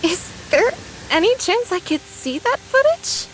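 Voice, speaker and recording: A woman's voice: extremely high pitch, very animated, a little slow, a little hiss or hum, heavily acted, poor audio. A woman voice-acting a proper, saintly young woman in a video game, earnest, polite and precise in her way of speaking. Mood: hesitant, puzzled.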